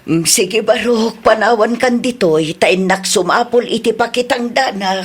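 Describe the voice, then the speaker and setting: A woman with an Ilocano accent and a husky voice acting a poor, gentle, doting mother in a radio drama. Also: a little high, measured pace. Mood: meek, weary.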